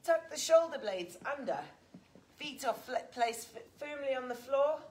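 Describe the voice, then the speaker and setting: A woman's voice: sits high, animated, moderate pace, darkish tone. A woman in her forties with an English accent, leading a live workout and counting reps while she exercises. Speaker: fitness instructor. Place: large indoor gym. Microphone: fixed camera a few metres off.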